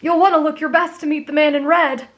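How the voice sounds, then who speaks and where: Woman voicing a high-pitched earnest anime boy. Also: fairly even intonation, fast talker, a bit bright. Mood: tender, reassuring. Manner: sincere, reassuring. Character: kind, earnest, gentle teenage boy, big-brotherly. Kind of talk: anime fan roleplay.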